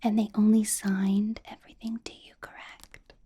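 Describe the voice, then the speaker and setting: A woman's voice: medium pitch, flat delivery, breathy edge. A woman voicing an ancient, doting yet menacing female vampire boss in a whispered ASMR roleplay, coaxing and drawing out her words. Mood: attentive, doting. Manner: slow, drawn-out, coaxing, soft chuckles and repeated words.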